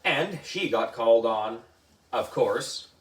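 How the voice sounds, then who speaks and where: A man's voice: medium pitch, animated, slow. A man reading a children's story aloud in a theatrical way, with exaggerated emphasis. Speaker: book reader for a children's book club. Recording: echoey room, distant mic.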